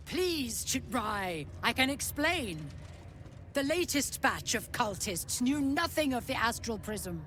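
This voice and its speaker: An actress playing a haughty, menacing alien warrior commander in a fantasy video game, speaking in a clipped, formal, commanding manner. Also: female voice, fairly high pitch, very animated, slow, fairly bright tone, a little hiss or hum, full character voice. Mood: pleading, tense.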